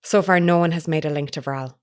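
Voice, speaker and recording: Woman playing low-voiced, persistent female reporter. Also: fairly quick, clear, resonant tone, lightly acted. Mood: matter-of-fact. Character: persistent, sharp-witted female investigative reporter. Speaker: voice actor in audio drama. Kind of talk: naturalistic audio drama acting.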